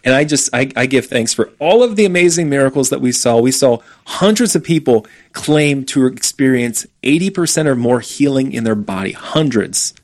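A man's voice: medium pitch, normal speed, few pauses. Earnest man telling a faith story. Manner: earnest, animated retelling with quoted dialogue. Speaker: ministry speaker. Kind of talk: casual storytelling in a conversation.

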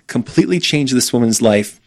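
An earnest man telling a story in relaxed conversation, mid-pitched and natural. He animates the tale with bits of quoted dialogue and steady conviction. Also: brisk. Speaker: ministry speaker.

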